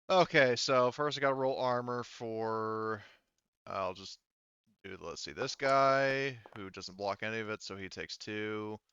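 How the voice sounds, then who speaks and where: A man's voice: fairly low pitch, fairly bright tone, clean tone, no room sound, lo-fi sound. A man casually refereeing a tabletop game as game master, thinking aloud in an informal way.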